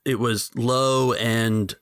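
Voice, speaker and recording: A man's voice: mid-range voice, steady tone, extremely slow, a bit bright, no room sound, clean studio sound. A man narrating a tabletop game as game master, talking in a hesitant, rambling way full of fillers.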